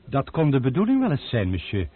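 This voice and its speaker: A fretful, suspicious servant. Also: male voice, medium pitch, huge pitch swings, a little fast, husky. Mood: wary. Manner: anxious, constantly warning. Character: fretful, suspicious manservant Cloche. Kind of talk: radio play acting. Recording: old phone-like noisy radio recording.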